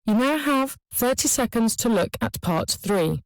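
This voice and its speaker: A woman reads exam instructions from a script. She speaks very slowly in a fairly high voice, with a dry sound free of room echo. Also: lively intonation. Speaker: exam announcer.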